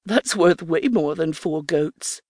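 A woman's voice: sits low, takes it slowly, darkish tone, no echo, clean studio sound, full character voice. Woman putting on a brash, wily old hag. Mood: smug, mocking. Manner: brash, scornful, talks with mouth full. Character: wily, coarse, mean-tempered old hag.

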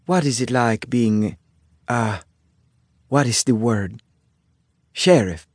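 A man voicing a curious, forthright young Italian immigrant woman in a storybook, with an Italian accent and halting broken English. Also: mid-range voice, no room sound, studio quality.

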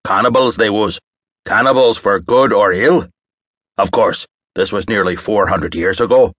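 A man voicing a jolly, booming old bar owner who talks like a pirate with a touch of an Irish lilt. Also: normal speed, fairly bright tone, no room sound, clean studio sound. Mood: theatrical. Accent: Irish-tinged pirate. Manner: dramatic, theatrical, booming.

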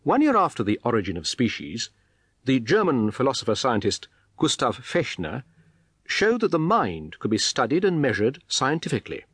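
A man's voice: medium pitch, huge pitch swings, normal speed, fairly bright tone, breathy edge, no echo, clean studio sound. A man reading a scripted biographical documentary narration in a formal, articulate, explanatory manner.

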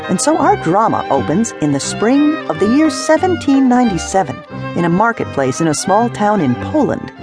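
A woman's voice: mid-range voice, big pitch swings, moderate pace. A woman giving scripted narration as the presenter of an audio drama. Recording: fiddle music behind.

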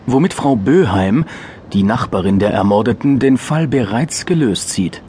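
A man's voice: mid-range voice, lively intonation, normal speed, mellow, a little hiss or hum, close to an everyday voice. A male actor with an Austrian accent narrating a satirical crime radio play in dry, bureaucratic style. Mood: dry, officious. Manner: dry, officious, mock-bureaucratic wording. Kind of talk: scripted crime audio drama narration.

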